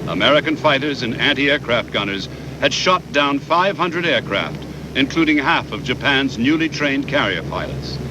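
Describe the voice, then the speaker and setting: A man reading scripted historical documentary narration in a measured, formal way with deliberate pauses, on a VHS transfer. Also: mid-range voice, expressive, background noise.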